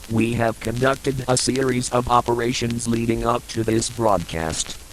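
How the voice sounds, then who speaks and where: A man playing a solemn government emergency broadcast announcer in an analog horror piece, formal and measured. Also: mid-range voice, a little hiss or hum, close to an everyday voice, rough recording.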